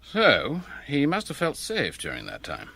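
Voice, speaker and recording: An actor playing an observant, deferential police detective, attentive and matter-of-fact, in a radio drama. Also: male voice, on the high side, huge pitch swings, measured pace, creaky, bright, forceful tone, breathy edge, heavily acted. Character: deferential male police detective, observant sidekick to his chief.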